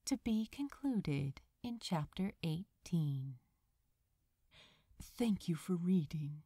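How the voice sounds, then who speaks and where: Slow, expressive woman narrator. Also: lowish voice, breathy edge, no room sound. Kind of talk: expressive scripted fan fiction narration.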